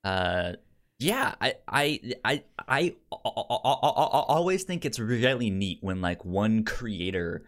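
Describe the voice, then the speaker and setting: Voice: mid-range voice, lively intonation, slow pace. A podcast co-host in casual chat, rambling along and often repeating words.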